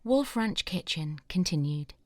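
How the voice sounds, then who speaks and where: Low-pitched woman narrating a screenplay. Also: expressive, unhurried, no room sound. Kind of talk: scripted narration of stage directions.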